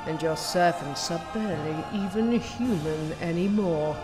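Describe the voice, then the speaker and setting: Villainous woman scientist, acted: imperious, disdainful, unhurried delivery, long reverb, faint music. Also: lowish voice, natural voice. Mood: fascinated, haughty. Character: haughty, scornful female renegade scientist. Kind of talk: audio drama acting.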